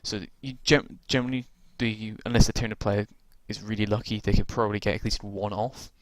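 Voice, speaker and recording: A man casually walking through tabletop game rules, rambling with lots of ums and restarts, his voice gone croaky from talking so long. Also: on the low side, little pitch movement, fairly quick, no echo.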